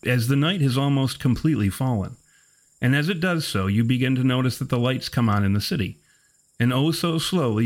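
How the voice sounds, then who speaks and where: A man with a medium-pitched voice acts as game master for a horror role-playing game. He describes scenes in a measured way, addressing the players directly. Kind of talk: narrating a tabletop horror game.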